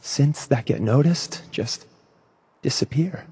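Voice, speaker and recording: A man playing a timid, earnest escaped synthetic human in a video game, hesitant and soft-spoken, with a breathy, creaky voice. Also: mid-range voice, slow, in a large hall. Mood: subdued, fearful. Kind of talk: video game character dialogue.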